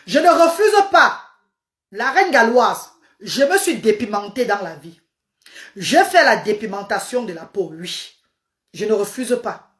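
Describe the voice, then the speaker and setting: A woman with a Cameroonian French accent, a native Medumba speaker, giving an emphatic, repetitive testimony on a phone live stream. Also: on the high side.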